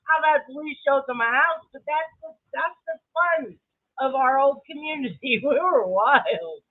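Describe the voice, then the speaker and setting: A woman talking casually on a livestream in a brash, dramatic, sweary way, often laughing. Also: sits high. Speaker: livestream creator. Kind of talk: casual livestream commentary to viewers. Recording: muffled, narrow lo-fi sound.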